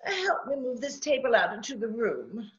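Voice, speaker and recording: A woman's voice: fairly high pitch, very animated, moderate pace, fairly bright tone, muffled recording. A woman playing a sweet, naive older mother who chatters in a flustered, genteel, twittering way, in 1940s radio drama style. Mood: cheery, nervous.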